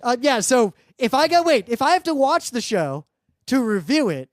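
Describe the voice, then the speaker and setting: Male co-host for casual geek chat: high register, argumentative and quick to cut in, recorded dry. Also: big pitch swings, no room sound. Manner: teasing, argumentative, interjecting. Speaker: podcast co-host. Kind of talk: casual banter about anime and comics.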